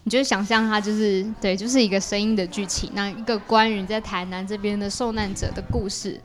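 A woman speaks with a Taiwanese Mandarin accent in a medium-pitched voice. She sounds like a guest artist in a casual conversation, explaining things step by step. Her phrasing is halting, and she often rewords as she goes. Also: fairly even intonation, faint music behind. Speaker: podcast guest, artist and creator of an audio drama.

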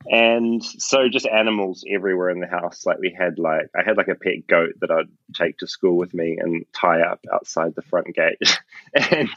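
A very low-voiced man with a New Zealand accent talks warmly about his past in a casual interview. He is upbeat and pokes fun at himself. The audio is dull and lo-fi with a dead-dry sound. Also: steady tone, normal speed, no echo. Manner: enthusiastic, self-deprecating. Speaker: guest, film composer.

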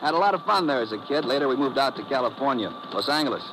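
A genial male stranger played by an actor makes quick, chatty small talk in a mid-range voice. It is old-time radio drama acting, with clear noise in the recording. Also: medium pitch, animated, lightly acted. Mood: affable. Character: affable, chatty male stranger raised in New York.

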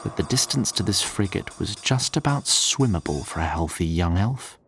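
A man's voice: sits low, expressive, normal speed, vocal fry, fairly bright tone, faint music behind. A man narrating a scripted audio drama in a literary voice, with wry asides to the listener.

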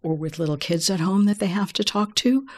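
A middle-aged woman, a voice care expert, speaking in a measured, explanatory way with touches of gentle humor. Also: medium pitch, expressive. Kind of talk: relaxed conversational interview.

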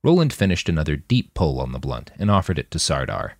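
A man's voice: extremely low pitch, very animated, a little fast, dry recording. A man reading scripted fiction as an audiobook narrator.

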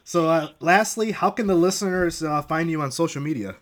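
A man's voice: fairly high pitch, fairly quick. A man hosting a podcast, asking questions in a casual conversational style. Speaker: podcast host, interviewer. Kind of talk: casual interview.

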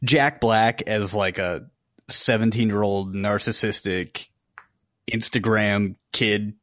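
A man's voice: on the low side, steady tone, slow pace, a bit bright, no room sound, studio quality. A man co-hosting a podcast in casual conversation. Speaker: podcast co-host. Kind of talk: casual chat.

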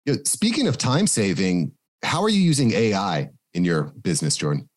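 A man's voice: on the low side, huge pitch swings, brisk. Easygoing man moderator. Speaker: podcast agency owner, session moderator. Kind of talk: casual conversation.

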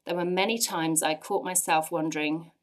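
A woman in her forties with an English accent, earnestly sharing a personal faith testimony with lively emphasis. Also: low voice, normal speed. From England. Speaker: church speaker sharing testimony.

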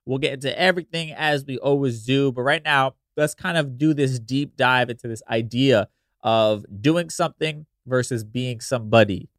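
A man's voice: mid-range voice, normal speed, a bit bright, no echo, studio quality. A man hosting a podcast solo in a casual, meandering, conversational way, sounding slightly nasal and congested from allergies. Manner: conversational, meandering, frequent "you know". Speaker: podcast host.

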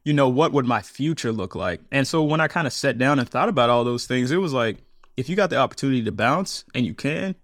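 Animated man telling his story. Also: medium pitch, no echo, clean studio sound. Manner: animated storytelling, quick laughs. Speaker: podcast guest. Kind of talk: conversational interview.

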